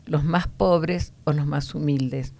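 Low, gravelly woman teaching the Bible. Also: fairly even intonation, dark tone. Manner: explanatory, often ending points with a questioning "no?"; unhurried, with frequent hesitation fillers.

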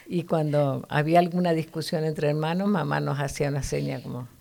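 Low, gravelly-voiced woman teaching the Bible. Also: fairly even intonation, fairly quick, mellow. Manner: earnest, teacherly, frequent "¿no?" tags.

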